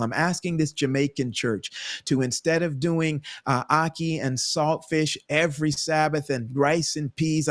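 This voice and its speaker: A man who pastors a mostly Jamaican church, asking an animated, rambling question on a panel and often restarting to search for words. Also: on the high side. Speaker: pastor and co-host. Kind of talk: casual panel talk.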